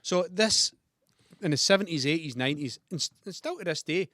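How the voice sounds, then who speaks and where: Scottish man, guest storyteller, laughs a lot; slightly high voice, dry close mic. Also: animated, fairly quick, sharp tone, no room sound. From Scotland. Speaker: podcast guest. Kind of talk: casual chat.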